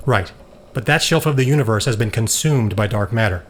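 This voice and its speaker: An actor playing an earnest, commanding male scientist in an audio drama, speaking in a deliberate, explanatory way. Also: fairly low pitch, expressive, a bit bright.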